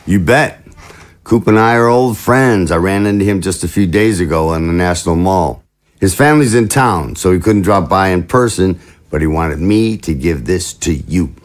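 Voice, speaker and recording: Man playing a deep-voiced, jovial political schemer. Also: expressive, normal speed. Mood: breezy, teasing. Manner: jocular, glib, chuckling, theatrical. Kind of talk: audio drama acting.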